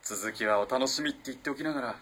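A man's voice: mid-range voice, quick pace, sharp tone, slightly rough. An actor playing a polite, earnest, easily flustered young male student in a radio drama. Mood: curious, mildly let down. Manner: polite, eager, hesitant. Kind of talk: Japanese radio drama acting.

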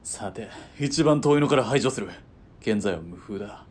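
A man's voice: animated, moderate pace, breathy edge. A man playing a cool, commanding military spotter in a radio drama, clipped and composed. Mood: focused, clipped. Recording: faint rumbling background noise.

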